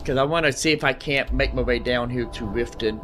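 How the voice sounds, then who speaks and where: A man streams a video game with music playing clearly behind him. His voice sits at an average male pitch. He comments casually on what is in front of him, musing aloud and circling back over his own phrases. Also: brisk. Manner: repeats himself, musing aloud. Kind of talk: casual live gameplay commentary.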